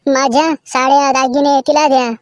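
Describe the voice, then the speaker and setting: Woman acting a nagging wife, naive, high-pitched, comedy cartoon dialogue, dry recording. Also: little pitch movement, moderate pace, dry recording, natural voice. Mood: naive, chatty. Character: nagging, naive Marathi wife in domestic joke skits.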